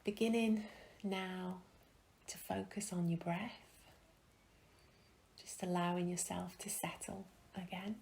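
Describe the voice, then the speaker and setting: A woman guiding a meditation in a soft, breathy, soothing way, leaving long pauses between phrases. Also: fairly low pitch, level tone. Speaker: meditation guide. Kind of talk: guided meditation.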